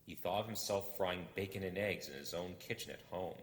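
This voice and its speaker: A man narrating a storybook expressively, in a measured, dramatic delivery with deliberate pauses. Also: sits low. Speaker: audiobook reader. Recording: roomy echo with long reverb.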